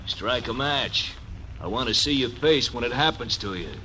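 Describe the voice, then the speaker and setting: A man's voice: medium pitch, lively intonation, normal speed, bright, forceful tone, rough recording. An actor playing a sneering young gunman in a 1940s radio drama, taunting with a giggling menace. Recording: old radio broadcast, faint hiss.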